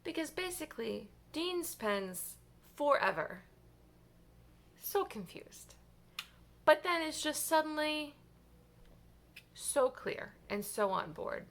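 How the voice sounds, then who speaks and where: Bright, creaky-voiced woman vlogger chatting. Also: high-pitched, big pitch swings, slow, long pauses. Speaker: reading vlogger. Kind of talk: casual reaction vlog chat.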